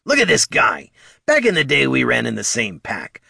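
Man voicing a scrappy, raised-pitch kobold. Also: wildly swooping pitch, moderate pace, sharp tone, no room sound, clean studio sound, full character voice. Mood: irritated. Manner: colloquial, gruff, growling when riled. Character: scrappy small male kobold, plain-spoken, proud, prickly. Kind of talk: audiobook character voice.

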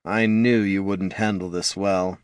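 A man's voice: medium pitch, sing-song, unhurried, fairly bright tone, dry recording, studio quality. A man voicing an undead lich wizard father in a fantasy storybook, pedantic, haughty and self-justifying. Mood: awkward, defensive.

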